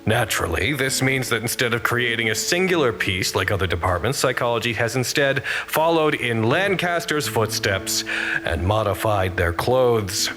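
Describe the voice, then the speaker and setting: Guy playing a wry comms officer narrating an audio drama, dry and measured, music behind him. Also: medium pitch, very animated, lightly acted. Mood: dryly observant. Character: wry, observant communications head reporting on an art show.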